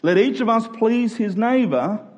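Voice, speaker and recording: A man's voice: high-pitched, expressive, measured pace, a little mellow, lo-fi sound. A man preaching a conversational expository sermon as a pastor, often posing rhetorical questions and checking in with his listeners. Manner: asks rhetorical questions with check-in tags like "right?" and "okay?".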